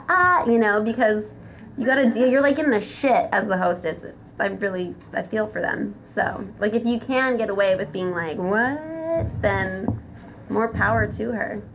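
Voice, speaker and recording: A woman podcast guest chatting casually in a blunt, sweary way. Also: medium pitch. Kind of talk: casual chat. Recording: dull sound with faint noise.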